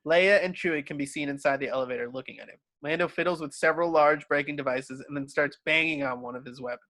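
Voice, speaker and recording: A man's voice: fairly high pitch, quick pace, bright, forceful tone, dry recording. A man narrating scripted stage directions for a table read.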